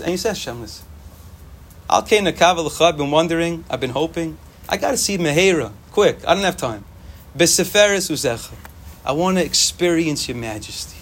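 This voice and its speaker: A young man whose first language is Yiddish, giving an informal, animated Torah talk to students and repeating phrases for emphasis. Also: on the high side, slightly rough. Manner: animated, repetitive, sprinkles Hebrew and Yiddish terms.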